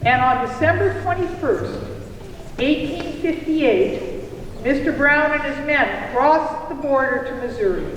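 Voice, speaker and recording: Distant echoey hall, high voice, slow pacing, performer acting as dramatic cafe proprietor, emphatic storyteller, historical puppet show. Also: lively intonation, a bit bright. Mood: earnest storytelling. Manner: theatrical, deliberate storytelling delivery. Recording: very echoey hall, distant mic, faint noise.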